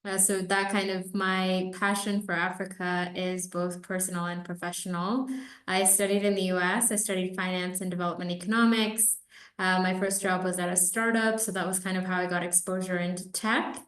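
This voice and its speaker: A woman's voice: fairly low pitch, little pitch movement, moderate pace, fairly bright tone. A woman from Kenya giving conversational answers as an investor being interviewed on a webinar call. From Nairobi, Kenya. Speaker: venture capital investor, interview guest. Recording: roomy echo on webinar call.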